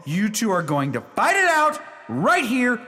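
High-pitched, melodramatic young bridegroom. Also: male voice, wildly swooping pitch, unhurried, pushed and bright, heavily acted. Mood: exasperated, commanding. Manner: melodramatic, earnest, wordy. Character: exasperated lovestruck young bridegroom haggling with ghosts. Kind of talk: theatrical radio play acting.

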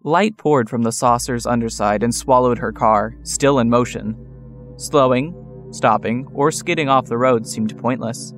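A man's voice: mid-range voice, unhurried. A man narrating a scripted story with wry, theatrical emphasis. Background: music score.

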